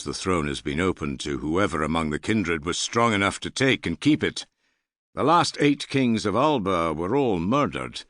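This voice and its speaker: A man's voice: low voice, fairly bright tone, no echo. A man voicing a self-assured Norse earl in an audiobook, with a dry, amiable irony. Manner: dry, amiable, gravely ironic. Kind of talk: audiobook character dialogue.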